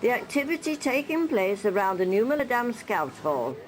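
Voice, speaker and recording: A woman's voice: mid-range voice, very animated, normal speed, poor audio. A woman narrating a local newsreel from a script, with light wry humour.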